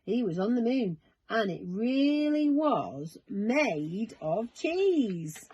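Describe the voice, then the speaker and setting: Slow, expressive woman reading bedtime stories. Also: on the high side, breathy edge, highs cut off. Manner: expressive, measured, dramatic. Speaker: storyteller. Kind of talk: children's bedtime story narration.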